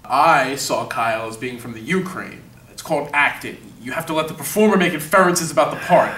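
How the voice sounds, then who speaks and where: A man's voice: a little high, a little fast, echoey room, far from the mic, background noise, lightly acted. A man playing a vain, explosive washed-up movie actor in a mockumentary comedy, with a pompous, indignant manner. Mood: haughty, impatient. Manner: pompous, indignant, self-important.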